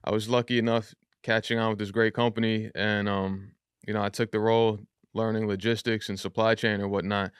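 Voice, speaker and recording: Young guy on a podcast, chill rambly talk, smooth clean voice, dry close mic. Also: fairly low pitch, little pitch movement, a little fast, dry recording. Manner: loose, chatty, frequent fillers. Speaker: rapper, podcast guest. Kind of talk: casual interview talk.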